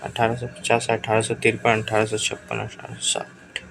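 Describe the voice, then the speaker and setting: A man with a sore throat teaches an exam-prep quiz lesson in a gravelly voice. His pitch stays flat and level as he reads out questions and options. The recording carries clear background noise. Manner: brisk, urges viewers to answer quickly.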